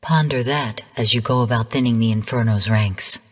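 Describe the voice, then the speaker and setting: A woman voicing a calm, calculating artificial intelligence in a video game, speaking formally and with measured, cool command. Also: extremely low pitch, long reverb tail. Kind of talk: scripted video game voice lines.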